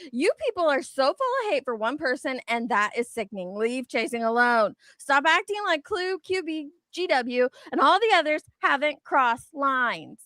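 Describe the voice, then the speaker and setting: A woman co-hosting casually, chatting and reading online comments aloud in an animated, exaggerated way. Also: high voice, dry recording, clean studio sound. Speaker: co-host.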